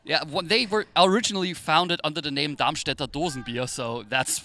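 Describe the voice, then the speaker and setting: Man, Austrian accent, native German speaker, very bright voice, fairly high pitch, chatty sports commentary. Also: brisk. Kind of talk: live quadball match commentary, conversational.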